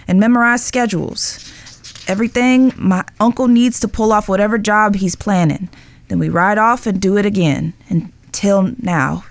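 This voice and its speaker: A woman playing a guilt-ridden, lovestruck young outlaw spy in an audio drama, speaking earnestly and emotionally with pauses. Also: medium pitch, no echo, close to an everyday voice.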